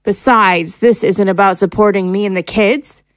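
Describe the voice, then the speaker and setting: A woman's voice: mid-range voice, moderate pace, no echo, close to an everyday voice. A woman playing a hurt, fed-up wife and mother in an audio drama, pleading and accusatory as she confronts her husband. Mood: frustrated. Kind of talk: dramatic audio screenplay acting.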